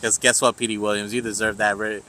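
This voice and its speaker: A man's voice: fairly low pitch, little pitch movement, fast talker, crowd noise, poor audio. A man hosting a casual vlog chat at a convention, whose first language is not English, joking and laughing often as he talks. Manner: joking, laughs often, trails off mid-sentence. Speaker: vlog host. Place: convention center.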